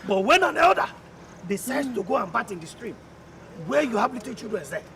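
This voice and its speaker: Stern Nigerian elder, creaky and animated, declaiming in film drama style. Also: male voice, very high-pitched, brisk, full character voice. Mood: indignant. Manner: emphatic, declamatory, proverb-laden. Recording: crowd murmur behind.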